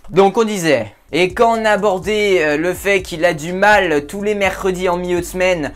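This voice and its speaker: A man's voice: high voice, moderate pace, soft background music. Chatty man joking about football. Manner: joking, chatty, addresses viewers directly; frequent filler hesitations. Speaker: football show host. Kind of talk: casual football commentary vlog.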